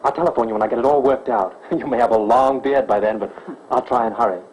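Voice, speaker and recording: An actor playing a devoted, earnest young male sleuth who jokes gently, in a 1930s film. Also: mid-range voice, fast talker, creaky, natural voice, poor audio. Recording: old film soundtrack with faint hiss.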